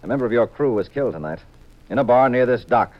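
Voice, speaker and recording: An actor playing a cool, shrewd private detective, brisk and assured, in a hard-boiled 1950s radio drama style. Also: male voice, mid-range voice, lively intonation, natural voice. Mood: firm, bluffing. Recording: muffled, hissy 1950s radio recording.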